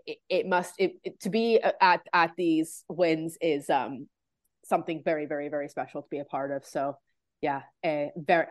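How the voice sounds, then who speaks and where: Casting: woman, Canadian accent, low voice, dry close sound, chatty and informal fan co-host. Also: no echo. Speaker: football podcast co-host. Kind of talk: casual fan chat.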